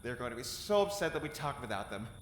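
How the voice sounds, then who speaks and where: Man acting loyal soldier, caring and wry, lively changing intonation, conversational, audio drama, roomy echo. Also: mid-range voice, fast talker, a crowd in the background, lightly acted. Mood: surprised, teasing.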